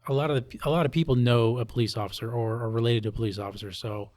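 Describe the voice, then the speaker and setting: An adult man from Hawaii with a mid-pitched voice talks as an interview guest. He explains his points conversationally, often tagging them with a quick check for agreement. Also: fairly even intonation, a little mellow. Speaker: police officer interviewee.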